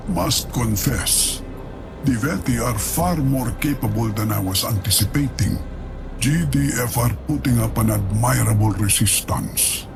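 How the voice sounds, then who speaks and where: An actor playing a proud, ruthless older dynasty leader in a game cutscene, speaking in a grave, measured, dramatic way. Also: male voice, medium pitch, creaky. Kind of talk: scripted game cutscene monologue.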